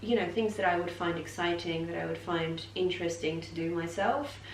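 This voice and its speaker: A woman's voice: fairly low pitch, brisk. A young woman from Poland, talking fluently and openly in a casual vlog chat. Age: young adult. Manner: fluent, chatty, open. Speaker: vlogger. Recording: echoey room, mic set far off, faint noise.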